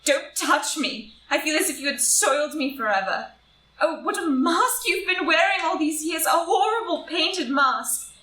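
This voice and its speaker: High, sharp, impassioned wife played by an actress. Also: female voice, animated, moderate pace. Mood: anguished reproach. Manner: declamatory, impassioned. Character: wronged, idealistic English society wife, bitterly heartbroken. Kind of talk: stage-style audio drama acting. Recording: roomy, distant live reading with noise.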